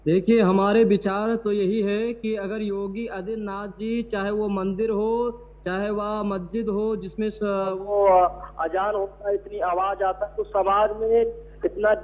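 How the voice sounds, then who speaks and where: Dull, muffled call audio carries a high-pitched man's voice. He speaks as a religious guest giving his view in a debate, with halting, loosely built phrases. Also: flat delivery.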